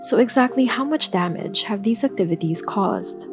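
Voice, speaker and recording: A woman hosting a news podcast, reading a scripted narration in a measured way. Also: mid-range voice, lively intonation, soft background music. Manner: measured, script-read delivery.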